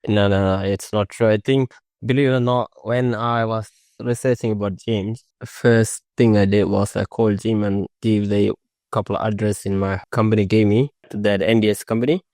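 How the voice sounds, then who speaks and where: A young man with a fairly low voice, speaking English as a second language. His sentences come out halting and unpolished, with restarts along the way. The recording is close and dry, with no room sound. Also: fairly even intonation, darkish tone, dry recording. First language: not English. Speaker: new mowing franchisee. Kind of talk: casual interview.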